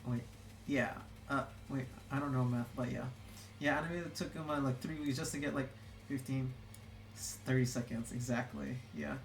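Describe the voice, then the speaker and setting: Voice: medium pitch, fairly even intonation, moderate pace, slight background noise. A young adult streamer chatting casually while drawing, rambling with lots of "like" and self-corrections. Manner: rambling, full of "like" and restarts. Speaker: streamer reading chat. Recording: roomy room echo.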